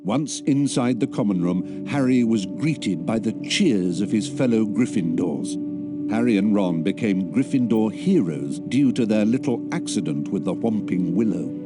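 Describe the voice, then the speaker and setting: A man's voice: on the low side, animated, unhurried. A man narrating a story for a video game in a scripted storyteller style. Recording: loud music behind voice. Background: loud background music.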